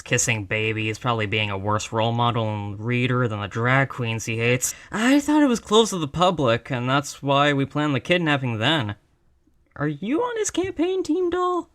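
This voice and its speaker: A man's voice: on the high side, fairly bright tone. A man playing an exasperated, wry mafia boss in a conversational roleplay audio drama. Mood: exasperated, sardonic.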